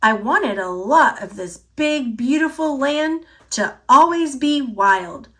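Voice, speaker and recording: A woman's voice: high voice, animated, takes it slowly. A woman voicing a bold, hearty schoolgirl who plays Teddy Roosevelt in an exclamatory storybook style.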